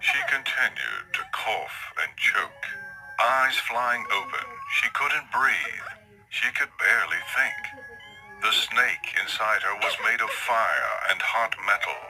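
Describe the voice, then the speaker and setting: A man narrating a horror story as a dramatic, theatrical scripted reading, at times with hushed emphasis. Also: lowish voice, animated, takes it slowly, over music, rough recording.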